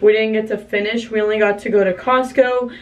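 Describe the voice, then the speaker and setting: A woman's voice: mid-range voice, fairly even intonation, fairly quick, recorded from a distance. Tired young mom vlogging from her car. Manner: chatty and rambling, many "like"s. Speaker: family vlogger. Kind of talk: casual vlog chat to camera.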